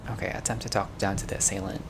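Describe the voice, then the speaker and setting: Male gamer, creaky voice, narrates a visual novel and comments casually; audible noise on the home recording. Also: on the low side, level tone, racing. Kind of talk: game playthrough, reading narration aloud with casual reactions.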